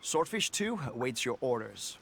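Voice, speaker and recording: A man's voice: mid-range voice, sing-song, normal speed, gravelly, fairly bright tone, exaggerated voice. A man playing a frank, formal acting captain of a military squad in a video game. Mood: formal, approving. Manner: formal, straight-talking. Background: game music.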